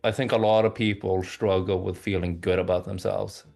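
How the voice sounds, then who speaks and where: A man's voice: low-pitched, little pitch movement, moderate pace, vocal fry, a little mellow, soft background music. A man from Oslo who grew up bilingual in English and Norwegian, chatting informally as an interview guest and often repeating words as he thinks. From Oslo, Norway. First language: bilingual English and Norwegian from childhood. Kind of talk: casual conversational chat.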